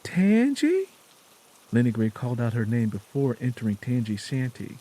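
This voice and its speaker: A man narrating an audio drama in a measured, solemn, dramatic storytelling style. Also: mid-range voice, flat delivery, warm tone, low-quality recording.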